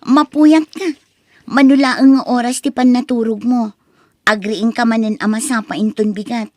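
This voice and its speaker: A woman's voice: a little high, fairly even intonation, unhurried, dry recording, studio quality, natural voice. A woman with an Ilocano accent playing a worried friend in a drama. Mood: concerned. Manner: fretful, concerned. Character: worried female friend of a young worker.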